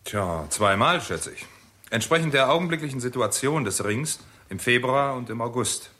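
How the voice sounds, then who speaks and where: An actor playing a serious, matter-of-fact astronomer, precise and understated, in a 1960s radio play. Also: male voice, mid-range voice, expressive, normal speed, bright, forceful tone. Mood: grave, factual.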